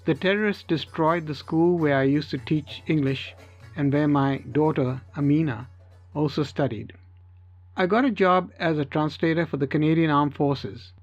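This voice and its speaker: Higher-voiced man narrating a story. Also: normal speed, darkish tone, muffled recording, faint music behind. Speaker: audiobook narrator. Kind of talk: scripted first-person story narration.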